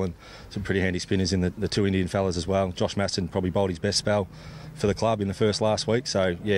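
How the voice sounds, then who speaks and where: Aussie bloke cricket captain being interviewed, low voice, talks fast, noisy outside. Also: male voice, a little mellow. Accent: Australian. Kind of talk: post-play sports interview. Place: outdoors at a cricket ground. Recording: clear background noise, outdoor ambience.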